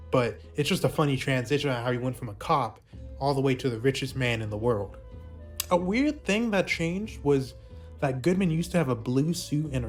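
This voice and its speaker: Higher-voiced man narrating informal commentary. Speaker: video essay narrator. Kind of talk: informal commentary on a puppet series.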